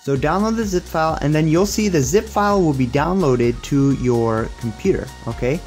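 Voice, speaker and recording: A man's voice: a little high, animated, normal speed, darkish tone, faint music behind. A young man giving a casual, upbeat hands-on tech demo and tutorial in a conversational, explanatory way. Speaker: tech reviewer and presenter.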